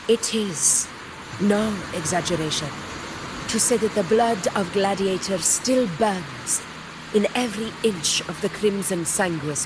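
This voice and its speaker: Proud female gladiator boss from a game, slow n regal, kinda low voice, noisy ambience behind her. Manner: formal, stately, measured and self-assured. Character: proud, commanding female gladiator war leader, regal and battle-hungry. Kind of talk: dramatic video game character dialogue.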